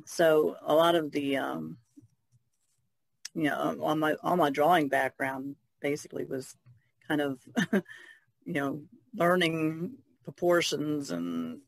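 Female podcast guest, gravelly creak, casual halting delivery, dry close sound. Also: low-pitched, steady tone, no room sound, rough recording. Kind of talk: casual conversational recollection.

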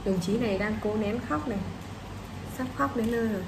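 A woman's voice: fairly high pitch, a little fast. Young woman, echoey noisy room. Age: young adult. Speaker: coloring video host. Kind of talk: casual chat. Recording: echoey room with clear ambient noise.

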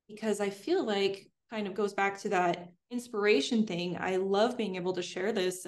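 A young woman answering interview questions in an upbeat, fluent, chatty way, recorded indoors. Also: medium pitch. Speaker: guest, homesteader and streamer. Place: indoors in front of a green screen.

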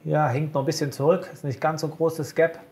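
Calm man walking through stock charts. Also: fairly high pitch. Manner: measured, walks through charts point by point. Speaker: co-host of a broker's market show. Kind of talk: casual market chat over charts.

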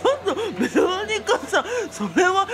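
A woman's voice: very high-pitched, big pitch swings, moderate pace. A woman playing a polite, timid but playful young boy in a light radio drama, hesitant and giggly. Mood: giggly, exasperated. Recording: music behind the voice.

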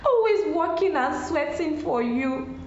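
A woman's voice: sits high, lively intonation, a little slow, darkish tone. A woman with a Nigerian accent acting an anguished, devout young student addressing God in a radio drama. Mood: tearful, aggrieved. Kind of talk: emotional radio drama monologue. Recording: muffled, roomy, faint background noise.

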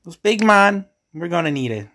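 A man's voice: high voice, very animated, moderate pace, sharp tone. A man from the Bahamas with a Bahamian accent, giving casual commentary as he hosts a gaming video.

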